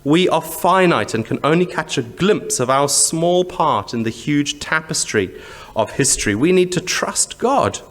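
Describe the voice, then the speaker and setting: A male preacher with a Zimbabwean accent delivers a sermon in a somewhat high, lively voice that moves freely up and down. He explains things conversationally, posing rhetorical questions and repeating short phrases for emphasis. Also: measured pace. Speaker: church preacher.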